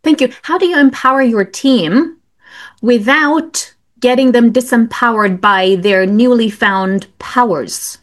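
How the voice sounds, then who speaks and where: A woman's voice: a little high, unhurried. A woman from Romania, hosting an interview and speaking directly and frankly. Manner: direct, frank, fillers like "like" and "you know". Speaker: podcast host. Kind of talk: interview questions, casual chat.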